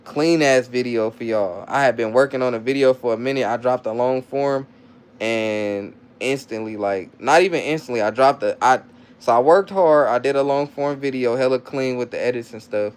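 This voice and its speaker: A man's voice: medium pitch, normal speed, clear, resonant tone. A young man talking loosely on a livestream, reading chat comments aloud and answering them in rambling slang with lots of restarts. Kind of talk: casual live-stream chat.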